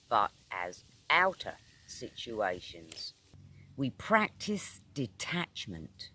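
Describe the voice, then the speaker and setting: Slow-paced British man reading aloud. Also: medium pitch, a bit bright. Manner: measured, deliberate, instructive, with pauses between short phrases. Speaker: audiobook reader. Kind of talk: scripted narration of a metaphysical article.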